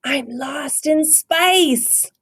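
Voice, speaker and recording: A woman voicing an excitable little kitty boy who plays at being a Chihuahua astronaut, exclaiming and drawing out words in a storybook. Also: high voice, very slow, vocal fry, pitched above the performer's own voice, heavily acted. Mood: eager, calling out.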